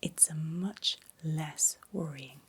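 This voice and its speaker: A middle-aged woman whispering breathily in a gentle, reassuring ASMR medical exam roleplay, playing a doctor giving close personal attention. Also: low-pitched, takes it slowly, breathy edge.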